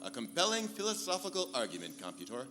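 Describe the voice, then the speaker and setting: Do-gooder space captain, formal n heroic, voice swings a lot, pulp radio play, roomy hall. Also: male voice, mid-range voice, wildly swooping pitch, fast talker, sharp tone, a little hiss or hum. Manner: declamatory, formal. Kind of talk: live sci-fi comedy radio play in pulp serial style. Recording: live convention hall stage, reverberant, music behind.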